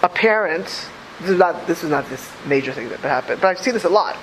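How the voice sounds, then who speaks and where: An animated rabbi in his thirties teaching a class, his voice riding high and swinging widely in pitch. He stumbles over repeated words and restarts often, laughing between points. A faint hiss sits behind him. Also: a little fast, low-quality recording. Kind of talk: Torah class talk.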